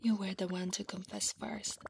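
A woman voicing an affectionate, teasing, clingy slime wife in a soft, half-whispered ASMR roleplay. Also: medium pitch, level tone, moderate pace, slight background noise. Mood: affectionate, soothing. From Italy. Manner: soft, breathy half-whisper, intimate, coaxing.

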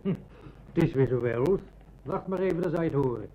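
Male actor as ambitious bank teller, mid pitch, old radio play, dull noisy recording. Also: animated, fast, close to an everyday voice. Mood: grumbling. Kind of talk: old Dutch radio drama acting.

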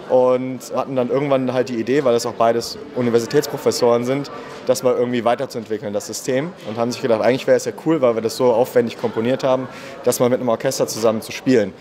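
Chatty man, filler-heavy interview answers. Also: medium pitch. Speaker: marketing manager and musician, festival guest. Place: indoor room at a festival. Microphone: handheld mic held by interviewer.

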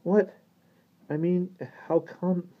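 An eager boy from an adventure storybook, acted out by a male narrator. He is urgent and questioning, exclaiming at a pitch well above the reader's normal voice, on a dull recording. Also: sing-song, a little fast. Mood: confused.

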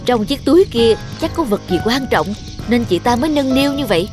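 A down-on-his-luck crook from an animated tale, gruff but soft at heart. He talks in a troubled, soothing way. Music plays clearly behind him. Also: extremely high pitch, a little fast. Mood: scheming. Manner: troubled, soothing, speaking to a baby. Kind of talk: Vietnamese animated cartoon dialogue.

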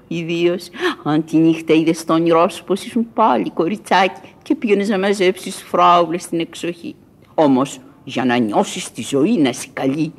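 Eccentric old Parisian madwoman, grand and whimsical, raspy voice, swooping delivery, radio theatre. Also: on the low side. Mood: confiding, musing. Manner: theatrical, declamatory, musing. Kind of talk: Greek radio theatre acting.

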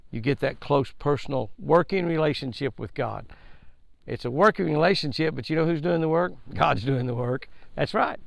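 A man's voice: a little high, gravelly, no echo. An older man hosts a daily devotional with a fishing tip, preaching in a folksy, emphatic way and repeating his points, recorded outdoors by a lake on a headset mic. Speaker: fishing show host.

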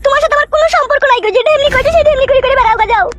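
A scolding cartoon wife with an extremely high, squeaky voice. She nags very fast, sulky and accusing, heartbroken with jealousy, with faint music behind her. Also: a bit bright. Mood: hurt, accusing. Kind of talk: Bengali comedy cartoon dubbing.

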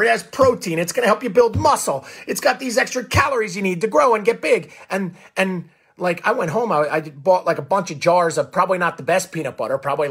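A hoarse, raspy man in his forties hosting a coaching show as a live monologue, fired up and animated, recorded on a phone close to the face in a small room. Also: a little high. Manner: fired-up, animated, gestures a lot. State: hoarse from coaching all day. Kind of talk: energetic Facebook Live monologue.

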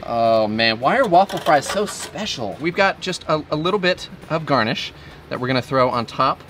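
Lively bearded man cooking on a griddle. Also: a little high, moderate pace, a little hiss or hum. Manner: upbeat, joking, quoting movie lines. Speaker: cooking show host. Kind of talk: casual cooking demo with banter. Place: outdoor griddle kitchen with wood walls. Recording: griddle sizzle behind voice.

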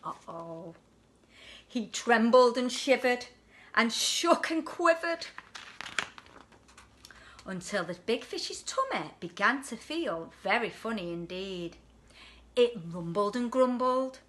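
A woman in her forties reads a picture book to children in an animated, deliberate way, leaning on the rhyming words. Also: on the high side. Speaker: deputy headteacher reading a children's story. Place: indoors at home on a sofa.

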